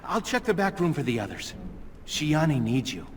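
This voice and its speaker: Jittery young game elf, kinda high voice, hall reverb. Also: male voice, sing-song, moderate pace, slight background noise. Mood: shaken. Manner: nervous, hesitant. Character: anxious young male elf cousin. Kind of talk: fantasy video game acting.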